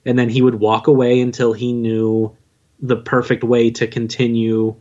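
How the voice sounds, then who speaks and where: A man's voice: mid-range voice, little pitch movement, normal speed, darkish tone. Dull-sounding lo-fi recording of a joking man. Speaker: podcast guest, audio drama writer and narrator. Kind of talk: casual interview chat.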